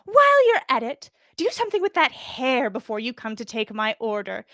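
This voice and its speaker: A woman acts out a haughty, prim older witch in a read-aloud story. Her pitch sits high and swoops with indignation. She is sickly sweet and scolding, enunciating each word with condescension. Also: wildly swooping pitch, moderate pace, pushed and bright, no room sound, clean studio sound, full character voice.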